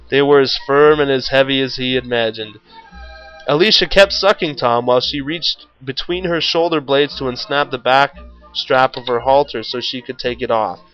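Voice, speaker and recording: A man's voice: medium pitch, lo-fi sound. A man reading a story aloud in a theatrical, mock-serious way. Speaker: story reader, show host.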